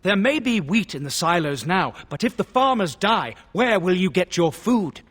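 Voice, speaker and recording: High pitched worked up nobleman, fantasy game, swoopy earnest delivery, echoey hall. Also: big pitch swings, moderate pace, a bit bright, heavily acted. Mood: indignant, pleading. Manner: impassioned, formal. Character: earnest, indignant lord pleading for starving peasants. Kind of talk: scripted fantasy game dialogue. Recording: long hall reverb.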